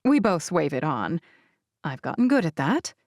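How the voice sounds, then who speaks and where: A woman narrating a novel in the first person as an audiobook reader, with expressive, deliberate phrasing. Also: low voice, no echo, clean studio sound.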